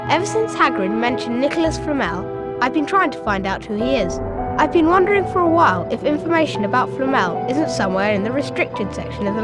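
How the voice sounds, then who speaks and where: An earnest high-voiced bookish schoolgirl. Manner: earnest, articulate letter reading. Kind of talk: video game character acting.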